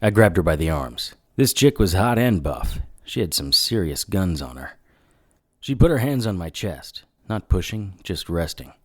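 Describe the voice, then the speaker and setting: A man's voice: deep voice, very animated, normal speed, no room sound. A man playing a hard-boiled investigator, narrating his own story in dry noir style in an audio drama. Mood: smitten, wry.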